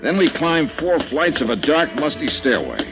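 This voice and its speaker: A man's voice: fairly high pitch, very animated, moderate pace. An actor playing a wry, hard-boiled male insurance investigator who narrates the story and trades dry wisecracks in a 1950s radio drama. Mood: narrating. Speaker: narrator and lead. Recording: dull 1950s radio broadcast with music.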